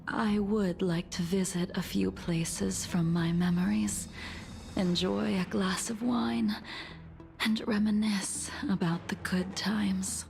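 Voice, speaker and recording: Guerreira anciã serena, fala lenta e rouquinha. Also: female voice, mid-range voice, steady tone, creaky, a little mellow, faint music behind. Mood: calm, resolute. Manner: measured, formal, wistful. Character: serene, world-weary ancient swordswoman, coolly amused. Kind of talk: video game character acting.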